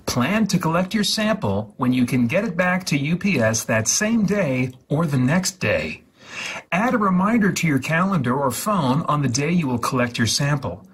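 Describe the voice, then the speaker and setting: A man reading an instruction leaflet aloud in a deliberate, deadpan way, drawing out and repeating some words. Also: fairly high pitch, slightly rough. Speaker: host of a gaming livestream channel.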